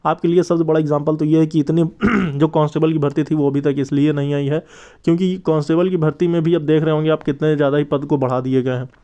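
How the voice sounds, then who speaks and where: A man's voice: fairly high pitch, steady tone, quick pace, dark tone. A man giving an informal update to viewers as a channel host and tutor, explaining earnestly and wordily.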